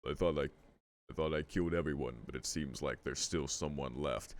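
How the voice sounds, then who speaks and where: Man as inhuman villain, deep hushed voice, slow, contemptuous, visual novel. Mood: cold, detached.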